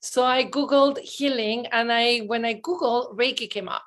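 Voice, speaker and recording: A woman in her forties, born in Belgium, a podcast guest telling lively stories and acting out her past self in excited bursts. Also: fairly high pitch, moderate pace. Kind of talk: casual interview chat.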